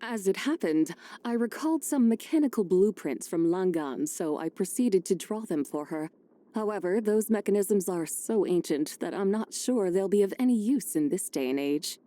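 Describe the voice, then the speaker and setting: A woman voicing a serene, wise, wistful ancient female immortal in a video game, speaking formally in measured, genteel, old-fashioned phrases. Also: medium pitch, lively intonation, warm tone, close to an everyday voice. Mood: calm, thoughtful. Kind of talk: scripted game character voice lines.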